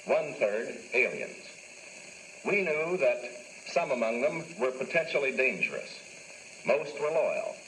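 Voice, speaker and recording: A man reading formal, scripted 1940s newsreel narration in a measured, authoritative official tone. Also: medium pitch, a bit bright, a little hiss or hum, poor audio. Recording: old 1940s newsreel soundtrack with music. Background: music score.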